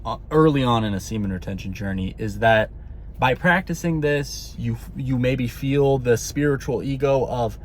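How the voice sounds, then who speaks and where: A man's voice: medium pitch, normal speed, a little hiss or hum. A man talking to his viewers in a casual monologue, earnest and rambling, often tagging his sentences with "okay?" and repeating words. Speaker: spiritual vlogger.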